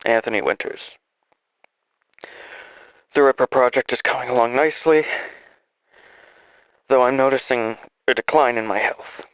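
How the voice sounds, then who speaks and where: A man playing a dying, regretful scientist in an audio drama, speaking haltingly and wearily as he records a solemn log. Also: medium pitch, fairly bright tone, smooth, dry recording, close to an everyday voice, rough recording. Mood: weary, hopeful. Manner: halting, solemn, weary delivery with trailing phrases.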